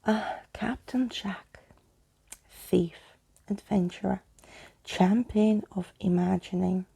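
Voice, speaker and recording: Lady doing a grand old skeleton guy from a storybook, breathy n crackly, slow and theatrical. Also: medium pitch, steady tone, dark tone, full character voice. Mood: boastful, whimsical. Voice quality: breathy, husky.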